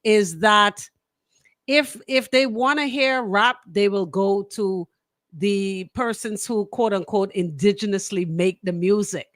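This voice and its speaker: A Bahamian woman co-hosting a casual radio discussion, outspoken and quick to interject. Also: medium pitch, fairly bright tone, studio quality. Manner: outspoken, interjects and echoes her co-host. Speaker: radio personality, co-host. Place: radio studio.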